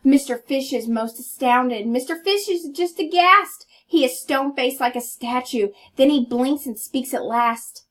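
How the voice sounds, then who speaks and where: Higher-voiced woman reading to children. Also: a little slow, few pauses, fairly bright tone. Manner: instructive, inviting listeners to think. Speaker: storybook reader and teacher. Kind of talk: children's read-aloud with explanations and questions.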